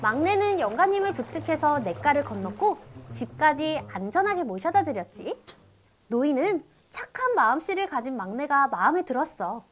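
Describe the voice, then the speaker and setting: A woman's voice: high voice, big pitch swings, moderate pace, slight background noise. A woman narrating a scripted children's story as a storyteller.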